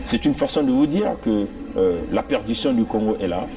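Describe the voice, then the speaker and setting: A man's voice: lowish voice, animated, moderate pace. A man speaking as a political guest in a TV interview, grave and hesitant as he lists grievances. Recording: distant mic with faint music.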